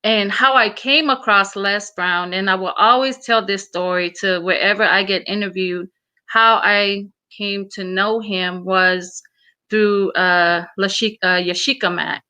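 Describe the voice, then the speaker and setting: A woman's voice: medium pitch, steady tone, measured pace, sharp tone. A woman from Samoa, whose first language is Samoan, speaking as an interview guest in an earnest, emphatic way, often repeating her words. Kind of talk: casual interview.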